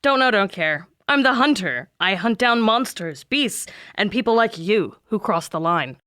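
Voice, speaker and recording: A woman's voice: mid-range voice, lively intonation, measured pace, bright, forceful tone. A woman playing a tough, confident, no-nonsense teenage girl monster hunter in an audio drama. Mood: cold resolve.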